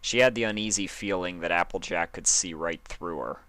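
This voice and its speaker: Deep-voiced man narrating, bright and dry. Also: moderate pace, no room sound. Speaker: fan fiction reader. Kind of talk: dramatic story narration.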